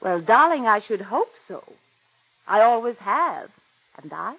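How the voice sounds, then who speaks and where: On a dull old radio recording, a vain, weary mature stage star. Her highish voice swoops grandly, theatrical and imperious in 1940s style. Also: sing-song, measured pace, heavily acted. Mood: gushing, self-assured. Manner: theatrical, imperious, darling-dropping. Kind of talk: 1940s radio drama acting.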